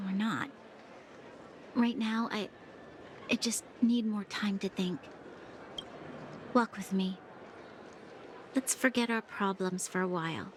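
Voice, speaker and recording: A woman's voice: medium pitch, takes it slowly, long pauses, slight background noise, natural voice. A woman voicing a dutiful, troubled young soldier. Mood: reflective. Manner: earnest, reflective, conflicted. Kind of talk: game voice acting. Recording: music in the background.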